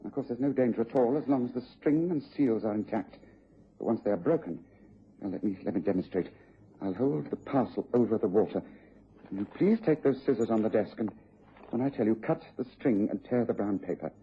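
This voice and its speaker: Self-assured English detective for radio drama: clipped, authoritative, lively intonation, faintly noisy old tape. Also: male voice, lowish voice, fairly quick. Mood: intent. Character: brisk, shrewd, self-assured gentleman detective. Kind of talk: BBC radio drama acting. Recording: dull, noisy vintage radio recording.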